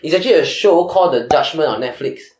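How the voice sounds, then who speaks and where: A man with a Singaporean accent hosting a casual chat podcast, relaxed and quick to laugh. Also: fairly high pitch, echoey room. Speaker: podcast host.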